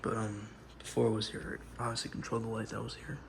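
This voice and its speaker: A man with a cold gives a rambling home tour, his voice gravelly and raspy. His pitch stays flat and he keeps apologizing, often sinking to a near whisper. It sounds like a phone recording with clear noise behind him. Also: fast talker. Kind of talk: casual home tour vlog. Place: inside a house, moving from room to room.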